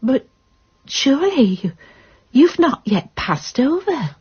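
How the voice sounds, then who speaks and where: An actress playing a lonely, credulous nurse in a radio drama, speaking in an earnest, polite and eager way. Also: female voice, high-pitched, big pitch swings, slow, darkish tone, slightly rough, dull audio, exaggerated voice. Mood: awed, anxious. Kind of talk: British radio drama acting.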